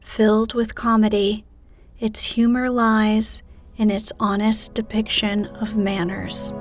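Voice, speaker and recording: A woman reading a bedtime story aloud in a soft, hushed ASMR whisper. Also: medium pitch, level tone, slow, faint music behind. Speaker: audiobook narrator.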